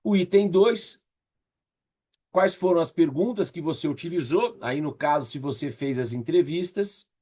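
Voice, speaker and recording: A Brazilian man with a slightly husky voice teaching an online class in a conversational, encouraging way, often checking in with his listeners. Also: a little high, lively intonation, measured pace, warm tone. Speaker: course instructor leading an online workshop. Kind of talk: casual interactive online teaching.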